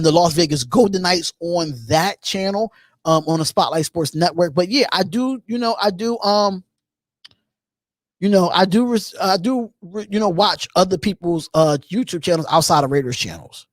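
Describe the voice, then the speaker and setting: Relaxed, chatty man in his thirties. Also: a little high, normal speed, no echo, clean studio sound. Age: 30s. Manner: relaxed and chatty, with many ums and repeated phrases. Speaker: host of a live call-in show. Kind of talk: casual live-stream chat reading viewer comments.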